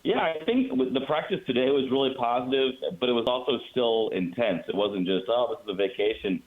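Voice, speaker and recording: A man's voice: medium pitch, lively intonation, fairly quick. An American man, a radio play-by-play announcer, giving conversational sports analysis as a guest over a remote call. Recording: muffled phone-like call.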